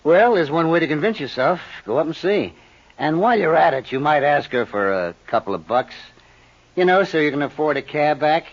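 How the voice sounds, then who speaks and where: An American actor playing a sly small-time schemer in a 1950s radio crime drama, insinuating and full of false friendliness. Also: male voice, on the high side, expressive, a little fast. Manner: smooth-talking, insinuating, mock-friendly. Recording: dull, muffled old radio recording.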